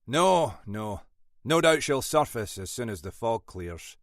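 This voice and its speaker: A man's voice: medium pitch, big pitch swings, measured pace, no room sound, studio quality. A man voicing a blunt, scolding older Scottish detective boss in an audiobook. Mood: dry, wry. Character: gruff older Scottish male detective boss, stern but fatherly. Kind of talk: audiobook character dialogue.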